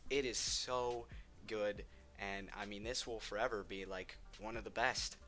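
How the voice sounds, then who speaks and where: A man's voice: on the low side, steady tone, measured pace, pushed and bright, faint music behind. A man giving casual, opinionated countdown commentary on video games, enthusiastic and emphatic, repeating words for stress. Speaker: video game ranking host.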